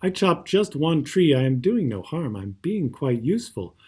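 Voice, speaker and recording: A man's voice: fairly high pitch, sing-song, normal speed, darkish tone, husky. A man voicing a greedy, dismissive male entrepreneur who talks in a boastful, pushy way in a storybook reading. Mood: pitching, reassuring.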